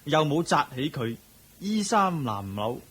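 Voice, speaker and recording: Loyal, bantering Hong Kong Cantonese companion, slightly high pitch, animated radio drama delivery. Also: male voice, measured pace, husky, exaggerated voice. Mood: wry, teasing. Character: loyal, teasing male companion of a newly rich villager.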